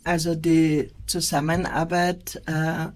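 A woman with an Austrian accent giving measured answers in an interview as a representative of a charity. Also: low voice, flat delivery.

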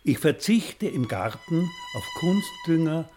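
A man in his seventies speaking slowly and carefully in short scripted statements. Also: on the high side, sing-song, darkish tone. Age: 70s. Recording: music bed behind voice.

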